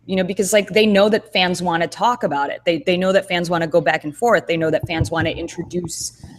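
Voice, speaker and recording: A woman with a somewhat low voice co-hosts a relaxed chat over a video call. She thinks aloud, circling back with fillers and restarts as she builds her point. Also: brisk, a little hiss or hum. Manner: thoughtful, rambling with many fillers and restarts. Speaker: co-host. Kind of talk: casual podcast chat.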